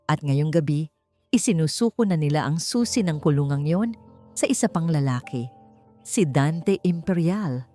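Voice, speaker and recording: Low-pitched Filipino woman narrating romance over music. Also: animated, normal speed, warm tone. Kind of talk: scripted romance story narration. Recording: music behind the voice. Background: background music.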